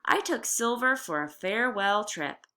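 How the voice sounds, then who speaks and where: A woman teacher giving a read-aloud lesson, explaining deliberately and reading expressively. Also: a little high, sing-song, pushed and bright.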